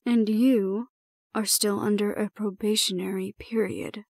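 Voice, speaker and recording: Woman acting as stern, composed supervisor for an audio drama; formal, deliberate delivery, dry close sound. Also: mid-range voice, steady tone, creaky, a little mellow, dry recording. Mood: measured, stern. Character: composed, stern female supervisor handling a dangerous ward. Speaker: voice actor in audio roleplay. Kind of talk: scripted audio roleplay acting.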